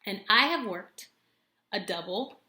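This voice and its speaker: Bright-voiced woman in her thirties, echoey room. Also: mid-range voice, expressive, unhurried, slight background noise. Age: 30s. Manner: earnest, chatty, many fillers and self-corrections. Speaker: homemaker vlogger. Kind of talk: casual talk to camera.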